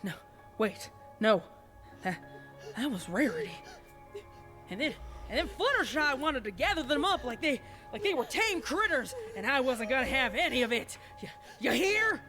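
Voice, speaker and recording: A woman's voice: high voice, very animated, normal speed, soft background music, pitched above the performer's own voice, full character voice. A woman voicing a deranged, paranoid country farm pony with a rural Southern American accent, rambling and stammering with vengeful menace in a horror story reading. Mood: rambling, agitated. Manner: rambling and stammering, snapping into angry shouts. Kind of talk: dramatic horror fan fiction reading.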